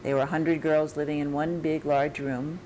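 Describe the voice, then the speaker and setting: An older American woman with a New York accent, recalling her teaching years in an oral history interview, pausing and restarting as she searches her memory. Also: sits low, level tone, a little mellow, a little hiss or hum, rough recording. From United States.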